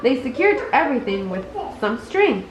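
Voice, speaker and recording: A woman with a high voice reads a bedtime story in an expressive way, occasionally tripping on a word. The room echoes around her and background noise is clearly audible. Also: moderate pace. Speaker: storybook reader. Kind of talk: read-aloud bedtime story narration.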